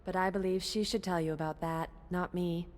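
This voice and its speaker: A woman's voice: fairly low pitch, steady tone, brisk, a little mellow, a little hiss or hum, natural voice. Polite, formal alien assistant voiced by a woman. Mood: polite, respectful. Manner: formal, deferential. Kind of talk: video game dialogue acting.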